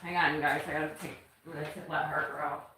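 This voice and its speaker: Woman, 40s, low pitch, casual self-teasing live-stream talk, roomy faint-ambience sound. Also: flat delivery, fast talker, background noise. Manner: chatty, self-teasing, laughs often. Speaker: vlogger. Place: home kitchen.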